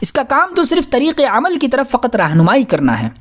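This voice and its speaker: A woman narrates a scholarly audiobook in a high voice. Her intonation rises and falls in a very lively way, while her delivery stays formal and measured, as scripted reading. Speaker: audiobook reader.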